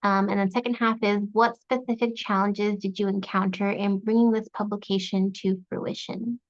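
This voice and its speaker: A woman moderating a panel on a video call, briskly reading out audience questions. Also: mid-range voice, steady tone, no echo. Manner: brisk, reads questions aloud. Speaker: event moderator. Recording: dull, dry sound.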